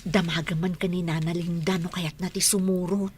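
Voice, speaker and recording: A woman's voice: lowish voice, steady tone, fairly quick, a little mellow, slight background noise, lightly acted. A woman with an Ilocano accent playing a gossipy, indignant office worker in a radio comedy drama. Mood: eager.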